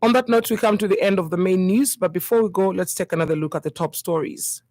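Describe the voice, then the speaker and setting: A woman's voice: fairly low pitch, fast talker, a little mellow. A woman with a Zambian accent presenting a scripted radio news bulletin.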